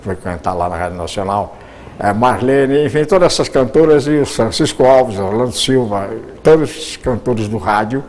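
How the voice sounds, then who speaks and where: A man's voice: mid-range voice, lively intonation, moderate pace, darkish tone, slight background noise. An older Brazilian man, a radio broadcaster, answering interview questions at length, often repeating words as he searches for them.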